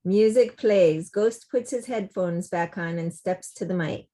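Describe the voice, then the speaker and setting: A woman's voice: lowish voice, unhurried, dark tone. A woman reading scripted stage directions as narrator of a table read over a video call.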